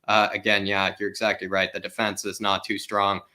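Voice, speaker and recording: A man's voice: lowish voice, completely flat delivery, fairly quick, bright, forceful tone. A man from the United States, co-hosting a football watchalong and giving rambling analysis. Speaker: co-host. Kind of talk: casual football chat.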